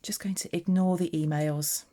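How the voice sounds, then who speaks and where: Woman, low voice, mellow tone, chatty, talks through her card making. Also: fairly even intonation, moderate pace. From southeast England. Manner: chatty, narrating her own hands-on work. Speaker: papercraft demonstrator. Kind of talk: casual craft tutorial chat.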